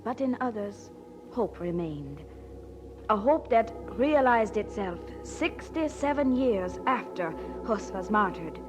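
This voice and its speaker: Slow-paced woman narrator over music. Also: mid-range voice, animated. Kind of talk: dramatic scripted narration. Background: instrumental music.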